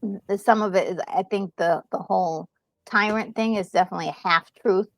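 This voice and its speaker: A woman's voice: mid-range voice, a little fast, a bit bright. A woman co-commentating on a game in informal, slangy, casual chat. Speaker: gaming co-commentator.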